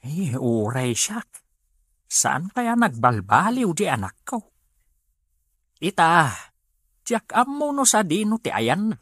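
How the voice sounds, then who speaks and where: Worried, prayerful Ilocano mother for radio drama: slow, plaintive, animated pitch. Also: dry recording, clean studio sound, exaggerated voice. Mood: worried, pleading. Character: anxious, prayerful mother of a wayward son.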